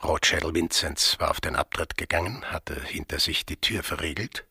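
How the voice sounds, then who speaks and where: Radio play brooder: rock-bottom pitch, raspy, sharp-edged secret monologue. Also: male voice, moderate pace, no echo, clean studio sound, exaggerated voice. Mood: brooding. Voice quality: husky, half-whispered gravel. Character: brooding man secretly piecing together a murder. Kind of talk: interior monologue in radio drama.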